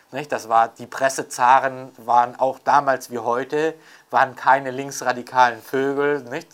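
A man's voice: medium pitch, a bit bright. Older man, mid-range voice, intellectual interview talk, unscripted, reflective, emphatic, rhetorical tags. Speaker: author and scholar interviewed about Adorno.

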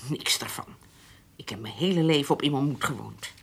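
Radio drama: old rural matriarch, deep and husky, plaintive, won't be budged. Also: female voice, a little fast, full character voice. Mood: indignant, stubborn. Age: older. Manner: plaintive, obstinate. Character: stubborn, ailing old farm mother and grandmother. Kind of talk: radio play acting.

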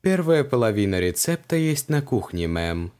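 A man voicing an imperturbable house-spirit butler in an audiobook, formal and deadpan. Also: medium pitch, normal speed, clear, resonant tone, lightly acted. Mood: unruffled. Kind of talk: audiobook character voice.

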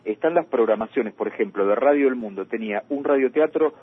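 A man's voice: medium pitch, fairly quick, slightly rough, narrow, tinny audio. A man with a Rioplatense Argentine accent hosting a radio interview about past programming. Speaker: radio host. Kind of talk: radio interview talk, reading out old programme listings.

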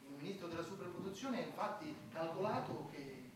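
High-voiced, wry underling, echoey hall. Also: male voice, expressive, a little fast, noisy background. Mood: wry reporting. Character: wry, stubborn male underling chronicling an absurd war. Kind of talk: satirical theatrical narration and dialogue. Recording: very echoey hall, distant from the mic.

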